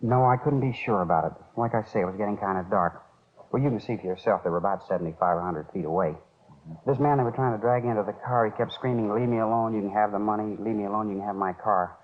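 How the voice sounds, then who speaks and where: Man playing a fast-talking, harried bar owner, tinny radio. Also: fairly low pitch, slight background noise, natural voice. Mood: agitated, recounting. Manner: colloquial, rambling, defensive. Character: harried, talkative male bar owner, witness to violence. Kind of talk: 1950s radio crime drama acting. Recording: tinny, narrow-band old radio recording.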